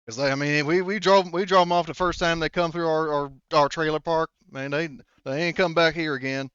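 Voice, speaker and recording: A man's voice: a little high, steady tone, a little fast, a bit bright, smooth, dry recording, muffled recording, voice pushed up, close to an everyday voice. A man voicing a boastful, defiant trailer-park militia man in a tabletop game, stammering and folksy.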